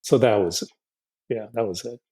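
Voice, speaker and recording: A man in his sixties from Honduras, a podcast guest who speaks in a halting, reflective way, often restarting and repeating words. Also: lowish voice, warm tone. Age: 60s. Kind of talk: casual podcast interview.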